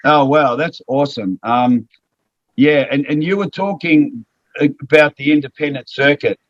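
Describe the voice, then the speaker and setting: A man's voice: on the high side, measured pace, dry recording, muffled recording. A man from Australia hosting the interview, an enthusiastic former wrestler who exclaims often. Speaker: host, former wrestler and voice actor. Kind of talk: casual interview chat.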